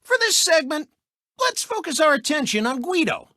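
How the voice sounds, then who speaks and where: A cocky cartoon race car holds forth as a gloating sports-show host in a racing game. The delivery is bright and forceful, swinging widely in pitch, smug and mocking, on a very dry, close recording. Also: male voice, sing-song, moderate pace, no echo, full character voice. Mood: showy commentary. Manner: smug, showy, exclamatory, mocking. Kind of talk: animated sports commentary and taunting.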